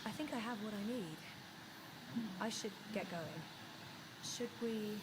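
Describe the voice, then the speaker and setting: A woman's voice: medium pitch, measured pace, lightly acted. Woman acting a young adventurer, heavy background noise. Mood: curious. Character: young female adventurer, earnest, polite, curious. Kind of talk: natural video game voice acting.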